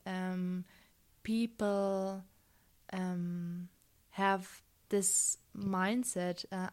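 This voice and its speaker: Slow, hesitant woman from Germany. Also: mid-range voice. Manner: hesitant, many ums, thoughtful. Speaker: guest. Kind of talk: casual conversation.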